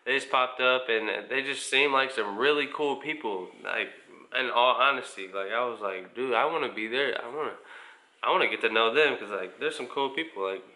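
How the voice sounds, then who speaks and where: A man's voice: medium pitch, moderate pace, pushed and bright, low-quality recording. A man of about twenty with an American accent, chatting casually to camera as he answers viewer questions in a laid-back, informal way. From United States, mostly Indiana. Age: around 20. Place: small living room at home, indoors.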